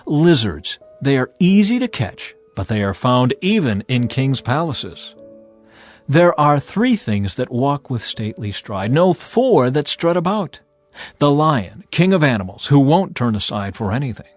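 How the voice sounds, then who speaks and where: A man giving a dramatized, measured and emphatic scripture narration as an audio Bible reader. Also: medium pitch, very animated. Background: background music.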